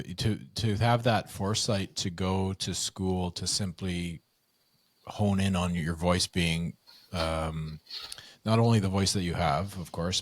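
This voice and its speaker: Casting note: male host, very low voice, dry close sound, curious. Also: no echo. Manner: curious, easygoing questioner. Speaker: podcast host. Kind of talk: casual interview chat.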